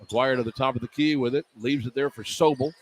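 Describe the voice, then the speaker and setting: A man calling live basketball play-by-play for radio in clipped running descriptions that can rise into excited calls. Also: mid-range voice, fairly quick. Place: indoor arena at a live game. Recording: live radio broadcast with arena noise. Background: arena noise.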